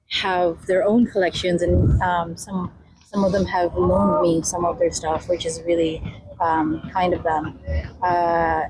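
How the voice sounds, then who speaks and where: A woman of Filipino heritage, guest on a community radio interview, talking in a soft, hesitant way with many fillers. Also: mid-range voice, background noise. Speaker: guest, mobile library founder. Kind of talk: casual interview talk.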